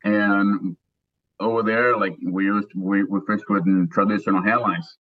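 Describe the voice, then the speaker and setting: Low-voiced Cuban-born man, dull line. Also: level tone. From Cuba. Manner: halting, repeats words. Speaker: podcast guest. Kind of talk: casual interview.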